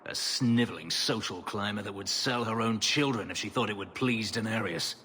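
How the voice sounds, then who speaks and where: An actor playing a brooding male elf, an escaped slave and warrior, speaking in a grave, measured, bitter way. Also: lowish voice, sharp tone, husky. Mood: grim. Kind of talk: video game voice acting. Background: game music score.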